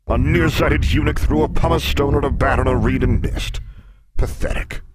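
A man voicing a menacing old male sphinx in an audiobook, growling and booming, with a taunting, domineering and theatrical manner. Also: fairly even intonation, moderate pace, heavy background noise, lightly acted. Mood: scornful. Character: menacing, mocking old male sphinx monster, riddle master. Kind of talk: audiobook character acting.

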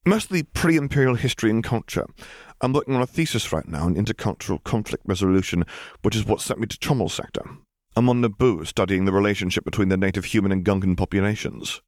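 A man's voice: fairly low pitch, fast talker, darkish tone, no echo, studio quality, natural voice. Man as chatty, sheepish young graduate student. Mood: eager, rambling. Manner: chatty, self-deprecating, rambling.

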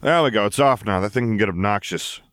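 A man's voice: lowish voice, fast, a bit bright, no echo, studio quality, pitched above the performer's own voice, close to an everyday voice. A man voicing a chatty, deferential vampire henchman in an audiobook story, reporting eagerly and informally to his boss.

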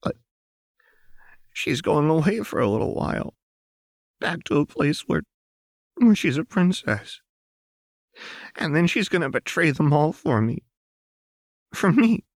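A man voicing a tearful, childlike young woman, a vulnerable daughter who speaks in a halting, pleading, emotional way in an audiobook. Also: a little high, very animated, dry recording, clean studio sound, pitched above the performer's own voice, heavily acted. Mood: hesitant, anxious.

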